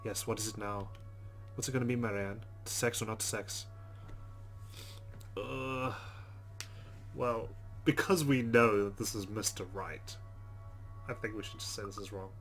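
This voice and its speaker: A man with a fairly low voice hosts a let's play. He comments casually on the game, with soft music playing behind him. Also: unhurried, long pauses.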